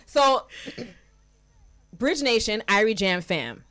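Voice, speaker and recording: A woman hosting an interview podcast, effusive and animated, hyping up her listeners. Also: on the high side, extremely slow. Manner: effusive, animated, hypes up the audience. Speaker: podcast host. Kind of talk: enthusiastic interview chat.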